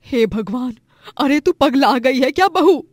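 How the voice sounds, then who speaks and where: A woman's voice: high voice, expressive, unhurried, a little mellow, no room sound, clean studio sound, voice pushed up. A woman voicing an older, bossy mother-in-law in a family story, emphatic and full of exclamations. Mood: outraged.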